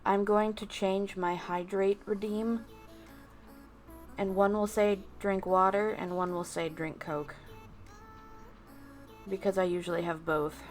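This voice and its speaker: A woman's voice: medium pitch, steady tone. Woman chatting casually over music. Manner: unscripted musing, addressing chat, with pauses. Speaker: VTuber livestreamer. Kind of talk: casual livestream chat with viewers.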